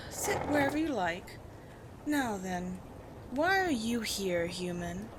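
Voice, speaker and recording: A woman playing a haughty, commanding vampire queen in a roleplay audio drama, speaking in deliberate, theatrical phrases with disdain for humans. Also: medium pitch, very animated, background noise. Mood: cool, curious.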